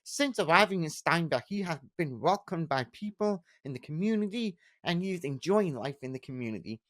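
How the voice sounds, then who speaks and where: A man co-hosting a podcast, reading the guest introduction in a measured, steady way. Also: a little high, dry recording. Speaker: podcast co-host. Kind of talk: read introduction and questions.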